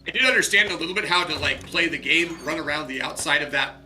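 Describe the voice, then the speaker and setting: A man's voice: on the high side, fast talker, bright, forceful tone, faint music behind. A man chatting casually on a gaming stream, his voice worn from a cold, rambling with restarts and chatty asides. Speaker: streamer and co-host.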